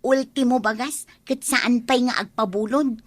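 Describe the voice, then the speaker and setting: A woman's voice: fairly high pitch, measured pace, no echo. A woman with an Ilocano accent playing a struggling young mother, pleading and defensive, in a radio drama. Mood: nagging, worried.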